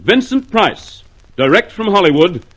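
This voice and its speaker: A man's voice: sits high, sing-song, a little slow, fairly bright tone, husky. A man announcing an old-time radio show in a formal, showy manner.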